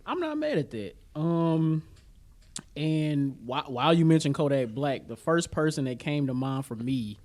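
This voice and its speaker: A man's voice: on the high side, big pitch swings, measured pace, darkish tone. A man co-hosting a podcast in casual, slangy chat, with an easygoing, agreeable way of talking. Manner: slangy, informal, easily agreeable. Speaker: podcast co-host. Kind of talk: casual chat about music, films and sports.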